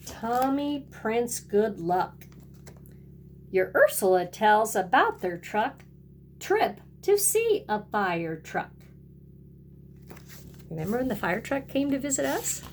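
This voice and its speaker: A woman's voice: fairly high pitch, slight background noise. A woman kindergarten teacher reading a picture book aloud and talking directly to young children in a sing-song way.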